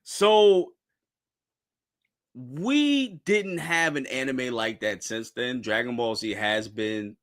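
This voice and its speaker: A man in his thirties talks loosely about anime as a show host, wandering off and restarting his thoughts. His pitch jumps around a lot and his tone is bright and forward, with a relaxed, chatty way of talking. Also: takes it slowly. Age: 30s. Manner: rambling, restarts, says "bro". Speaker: podcast host. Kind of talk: casual anime discussion.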